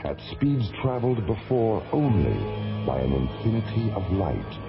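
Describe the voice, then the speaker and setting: Portentous sci-fi radio narrator, deepish, dull vintage sound. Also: male voice, very animated. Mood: grave, suspenseful. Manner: portentous, deliberate. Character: grave announcer narrating a space adventure serial. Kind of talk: dramatic scripted radio drama narration. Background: loud dramatic music.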